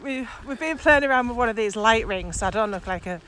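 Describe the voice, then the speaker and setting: A woman's voice: on the high side, animated, fast talker, fairly bright tone. A woman, chatting casually as a podcast co-host. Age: 40s. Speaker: podcast co-host, business owner. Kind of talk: casual conversational podcast chat. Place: outdoors at dusk. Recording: faint outdoor background noise.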